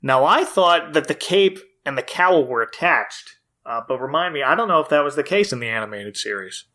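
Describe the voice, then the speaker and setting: A man with a fairly high, very bright and forward voice hosts a casual podcast. He recaps with amused enthusiasm, in the loose manner of a chat among friends. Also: animated, normal speed. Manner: enthusiastic, amused, laughs often while talking. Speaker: podcast host.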